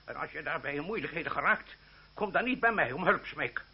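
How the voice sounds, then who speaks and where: Blustering older uncle, wildly swooping voice. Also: male voice, a little high, lively intonation, fast talker, vocal fry, breathy edge, full character voice. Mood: indignant, blustering. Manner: stammering, blustering, exclamatory. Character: hot-tempered, gruff, blustering older aristocratic uncle. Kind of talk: Dutch radio drama acting. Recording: muffled, noisy, humming old radio recording.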